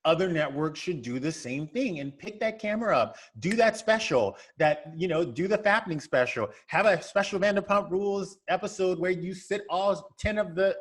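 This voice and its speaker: A man talks as a guest on a podcast in a slightly higher register. He is emphatic and repeats words to drive his points home in casual conversation. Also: animated, normal speed, few pauses. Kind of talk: casual chat.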